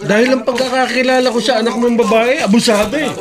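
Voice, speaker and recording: High-voiced, blunt family friend. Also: male voice, steady tone, fast, a crowd in the background. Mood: disapproving. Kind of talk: Filipino radio drama acting.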